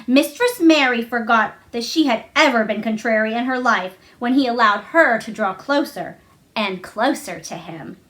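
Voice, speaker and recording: A woman's voice: fairly high pitch, moderate pace, a bit bright. A woman narrating a children's novel aloud as a storybook reader.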